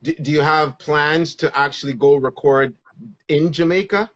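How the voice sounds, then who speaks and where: A man's voice: fairly high pitch, normal speed, no room sound. A man hosting an interview show, asking enthusiastic, rambling questions. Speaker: show host. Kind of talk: casual interview. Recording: dull dry sound.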